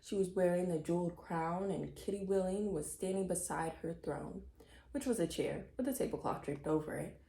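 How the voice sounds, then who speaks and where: A woman narrating a children's chapter book in an expressive read-aloud style. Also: fairly low pitch, moderate pace, creaky, echoey room, rough recording. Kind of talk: expressive scripted story reading.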